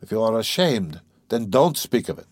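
A man's voice: lowish voice, sing-song, normal speed, a little mellow, no echo. Man acting a sardonic, restless young man. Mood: irritable. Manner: sardonic, brooding, teasing. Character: irritable, scheming young former law student, mocking and anxious. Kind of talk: dramatised audiobook reading of a classic novel.

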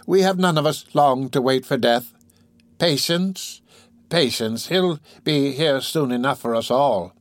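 A man's voice: mid-range voice, measured pace, dry recording, studio quality, lightly acted. A man voicing a sly old workhouse crone in a storybook, gossiping morbidly in a drawl. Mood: ominous.

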